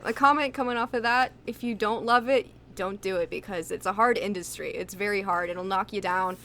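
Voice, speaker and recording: A woman's voice: medium pitch, brisk, fairly bright tone. Emphatic woman with faint background noise. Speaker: voice actress being interviewed. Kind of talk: casual interview chat.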